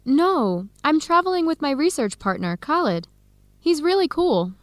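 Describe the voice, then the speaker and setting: A friendly young American woman researcher in a radio drama. Her voice sits fairly high and swings freely in pitch, with an American accent, recorded dry and close. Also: moderate pace, dry recording. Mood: warm, chatty. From United States. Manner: lively, expressive.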